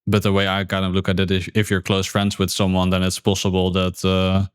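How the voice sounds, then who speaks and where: A man's voice: low voice, monotone, a little fast, clear, resonant tone, no room sound, clean studio sound. A man hosting a podcast, speaking thoughtfully with many fillers and repeated words. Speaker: podcast host. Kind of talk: casual conversation.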